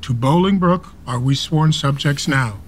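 Male actor as elderly loyal duke, stern, fiery, fairly high voice, measured verse delivery, radio play. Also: a little hiss or hum, natural voice. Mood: grave, sorrowful. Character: stern elderly English duke, proud, loyal, quick to fury. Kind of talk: Shakespeare radio play acting, archaic verse.

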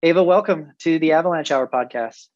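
A man's voice: a little high, fairly quick, a little mellow, dry recording, dull audio. A man hosting an interview podcast, introducing and questioning his guest. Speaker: podcast host.

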